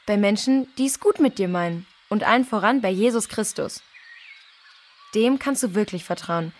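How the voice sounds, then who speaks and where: A woman playing a kind, level-headed girl who reasons calmly and earnestly in a children's audio drama. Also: mid-range voice, moderate pace, natural voice. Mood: firm, advising.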